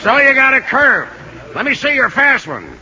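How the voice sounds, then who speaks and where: An actor playing a brisk, gruff baseball manager in a radio drama, calling out orders in a bossy manner. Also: male voice, extremely high pitch, sing-song, some chatter behind, exaggerated voice, rough recording. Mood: brisk, commanding. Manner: bossy, shouting across a field. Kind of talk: 1950s radio drama acting. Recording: old 1950 radio broadcast.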